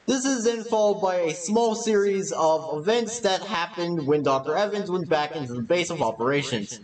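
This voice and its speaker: A man's voice: high voice, lively intonation, normal speed, darkish tone, distant mic, low-quality recording. A man reading scripted narration for a video essay.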